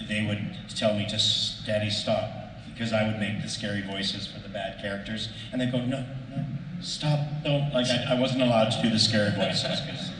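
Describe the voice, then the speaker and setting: A man's voice: lowish voice, flat delivery, normal speed, fairly bright tone. Middle-aged man in an echoey hall. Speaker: voice actor panelist. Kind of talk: casual panel discussion. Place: large convention panel room. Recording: echoey hall, distant mic, faint noise.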